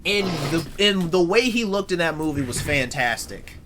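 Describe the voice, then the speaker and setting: A man's voice: a little high, moderate pace, noisy background, lightly acted. A man playing a brash, talkative superhero who swears often and restarts his sentences in an improvised, in-character chat. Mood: casual banter. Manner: excitable, swears a lot, frequent restarts. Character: brash young adult male superhero, talkative.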